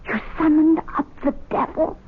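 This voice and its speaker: An actress playing a nagging, suspicious older wife in a radio drama, scolding and asking pointed questions in a dry manner. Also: female voice, high-pitched, steady tone, normal speed. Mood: suspicious, foreboding. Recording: tinny old radio broadcast.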